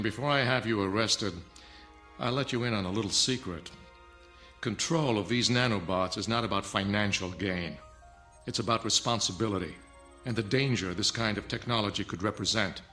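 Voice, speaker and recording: A sharp, haughty scientist. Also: male voice, lowish voice, normal speed, faint music behind. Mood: indignant, haughty. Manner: haughty, lecturing, curt. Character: stern arrogant male scientist, defensive, guarding secret nanotech. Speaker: actor. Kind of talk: dramatic film dialogue.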